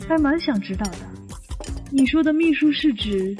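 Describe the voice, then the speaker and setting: A woman's voice: sits high, expressive, normal speed, a little mellow. A woman playing a nosy, insinuating middle-aged mother in a family audio drama. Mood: nosy, gossipy. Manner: prying, insinuating. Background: music and clinking tableware.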